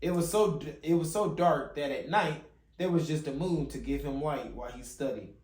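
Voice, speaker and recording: A man's voice: fairly high pitch, normal speed, darkish tone, slight background noise. A man reading a children's picture book aloud as a father and host, now and then stumbling and rereading a phrase. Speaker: father hosting a children's read-along channel. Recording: roomy, echoey home recording.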